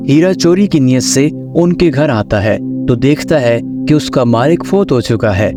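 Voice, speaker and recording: A man's voice: mid-range voice, animated, a little slow. A man reading scripted narration of a children's story as the storyteller. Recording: music under the voice.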